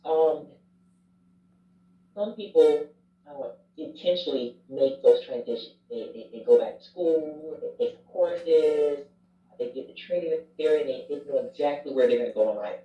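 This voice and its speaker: A man's voice: fairly high pitch, normal speed. A man, a chaplain and former youth pastor, candidly and reflectively telling his story in a casual interview. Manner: candid, reflective, self-deprecating. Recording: roomy, distant, dull sound.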